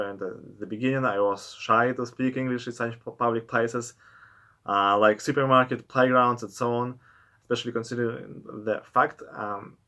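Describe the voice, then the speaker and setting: A man with a Russian accent and Russian as his first language, talking to camera in careful, halting English full of pauses. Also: medium pitch, pushed and bright. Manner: careful, halting non-native English with many filler pauses. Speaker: vlogger. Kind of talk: casual talk to camera.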